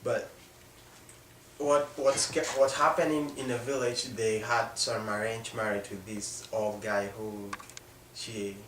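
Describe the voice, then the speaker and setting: A young man with a South Sudanese accent and a low voice tells his own story off the cuff. He speaks haltingly, often breaking off mid-sentence. The recording is roomy and echoing, picked up at some distance from the mic. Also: little pitch movement, a bit bright. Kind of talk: personal storytelling, unscripted. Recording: echoey room, distant mic, faint noise.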